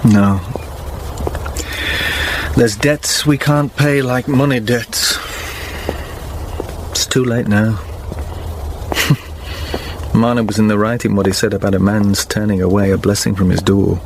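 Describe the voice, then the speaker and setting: An actor playing a remorseful, earnest gentleman father in a radio drama, speaking in a halting, regretful way. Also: lowish voice, steady tone. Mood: regretful, resigned. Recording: noisy radio drama recording.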